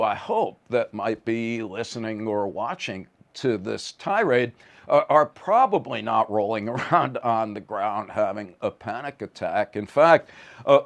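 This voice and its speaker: A man giving a conversational lecture in a wry, vivid, emphatic manner, often stammering on the starts of words. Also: mid-range voice.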